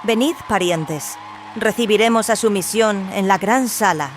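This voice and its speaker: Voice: medium pitch, unhurried, over music, pitched above the performer's own voice, lightly acted. A performer voicing a solemn, ceremonious clone leader who declaims grandly in an audio drama. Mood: solemn, assured.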